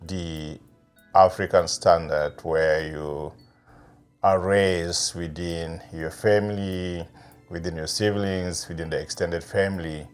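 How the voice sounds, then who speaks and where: A middle-aged man from South Sudan, speaking in a measured interview manner with pauses and fillers. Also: low-pitched, a little mellow. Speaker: interviewee, community advocate. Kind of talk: reflective interview talk.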